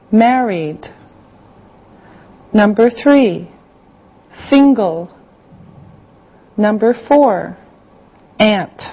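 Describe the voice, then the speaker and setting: A woman's voice: on the high side, exaggerated voice. A woman playing an encouraging English teacher, giving carefully enunciated classroom instructions and dictation. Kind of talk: scripted classroom lesson with dictation. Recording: slightly dull lo-fi audio.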